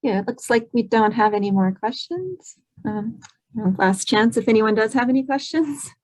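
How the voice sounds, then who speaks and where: Woman hosting a virtual panel, polite and brisk. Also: fairly high pitch, animated, a little mellow, poor audio. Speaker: session moderator. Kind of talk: introducing speakers. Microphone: video call.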